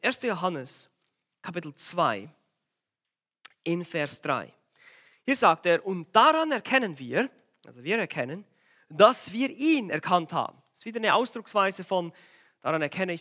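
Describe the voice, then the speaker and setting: Male preacher, voice a bit worn from a cold, bright and forceful, urgent exhortation. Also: sits high. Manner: urgent, exhorting, asks the congregation direct questions. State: has a cold, voice a bit strained. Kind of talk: sermon.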